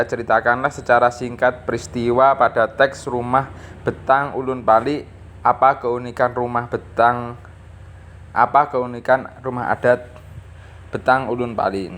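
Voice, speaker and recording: A man's voice: medium pitch, fairly even intonation, moderate pace, fairly bright tone, a little hiss or hum. A man teaching a lesson, reading from a textbook and explaining, often adding little checking tags. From East Java, Indonesia. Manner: didactic, punctuates phrases with checking tags. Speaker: school teacher.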